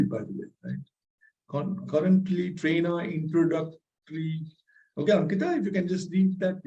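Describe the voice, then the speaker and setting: Man, fairly high voice, energetic, motivational, keeps asking "right?", slightly strained voice. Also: lo-fi sound. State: voice strained from talking loudly. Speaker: leadership trainer hosting a masterclass. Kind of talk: live online masterclass with audience questions.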